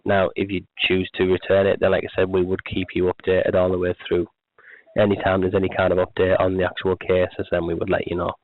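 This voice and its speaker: Deep croaky salesman on phone. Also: completely flat delivery, a little fast, no room sound. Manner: rambling, repetitive sales pitch with many restarts. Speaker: telemarketer. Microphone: telephone. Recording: tinny phone line.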